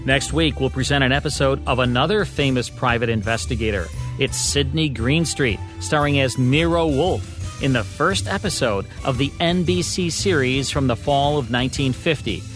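A man's voice: medium pitch, moderate pace, faint music behind. A man with an American accent co-hosting a radio show, reading scripted commentary on broadcast history.

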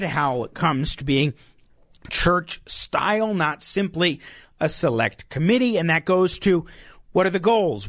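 High-voiced talk radio host, dry studio. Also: male voice, a bit bright, dry recording. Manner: conversational, emphatic, opinionated. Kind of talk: talk radio commentary and interview. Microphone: studio microphone.

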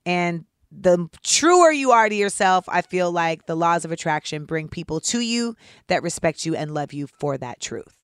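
A woman in her thirties with a deep voice, hosting a casual commentary show in a candid, sarcastic way and saying "like" often. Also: animated, moderate pace, smooth. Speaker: host.

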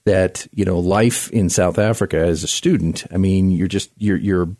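A man hosts a talk radio show, moving the conversation along with leading questions. His voice is low and warm. He is heard through a dry, close studio mic. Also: fairly even intonation, moderate pace, no echo. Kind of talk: radio interview.

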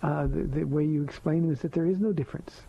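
A soft-spoken man with a gravelly, husky voice, pitched a little high for a man, hosts a live call-in show as a pastor. He answers listener questions gently and earnestly, leaning on slow emphatic phrases. The sound is a dull, lo-fi radio broadcast. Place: radio studio.

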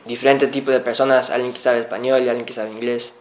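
A man's voice: mid-range voice, little pitch movement, fast talker, low-quality recording. A teenage boy being interviewed, speaking hesitantly and restarting his phrases. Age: teens. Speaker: student guest.

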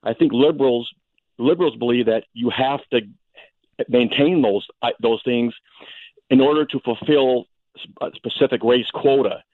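A man's voice: medium pitch, no room sound. Male caller on a tinny phone line. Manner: earnest, halting, restarts phrases. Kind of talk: phone-in call.

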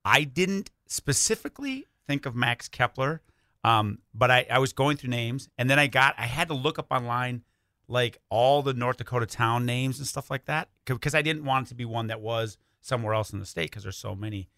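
A man's voice: mid-range voice, moderate pace, bright, forceful tone, no room sound. A man speaking casually and informally as a radio interview guest, telling stories in a studio. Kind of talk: casual conversational storytelling. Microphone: close studio microphone.

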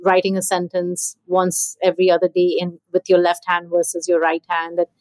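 A woman's voice: fairly low pitch, completely flat delivery, normal speed, a little mellow, dry recording, poor audio. A woman hosting a podcast, chatting casually and thinking aloud with lots of fillers. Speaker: podcast host. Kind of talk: conversational interview. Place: in India.

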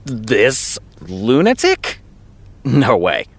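High-strung, exasperated American stubborn writer. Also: male voice, huge pitch swings, very slow, husky, heavily acted. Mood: incredulous. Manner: emphatic, exasperated, precise. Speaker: voice actor. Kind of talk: naturalistic fiction podcast dialogue.

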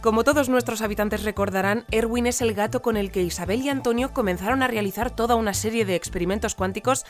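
An actress playing a polite, composed female news presenter, speaking earnestly and in measured phrases in an audio fiction bulletin. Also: a little high, faint music behind, natural voice. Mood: earnest, formal.

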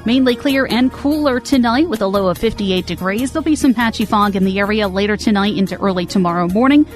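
A woman meteorologist reading a scripted weather forecast in a smooth, even way. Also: medium pitch, fast, music in the background.

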